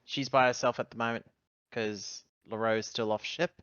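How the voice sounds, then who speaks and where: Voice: mid-range voice, fairly even intonation, moderate pace, fairly bright tone, smooth, dry recording, highs cut off. A player chatting casually and speaking in character during a tabletop roleplaying game.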